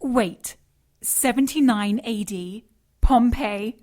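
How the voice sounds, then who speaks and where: A woman's voice: fairly high pitch, takes it slowly, a little mellow. A woman playing a clever, earnest companion in an audio drama who speaks in an inquisitive way. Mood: curious. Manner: questioning, earnest.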